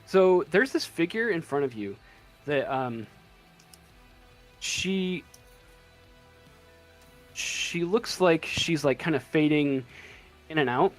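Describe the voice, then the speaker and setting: A man running a tabletop game as game master, narrating off the cuff and halting as he searches for words. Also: on the high side, lively intonation, faint music behind.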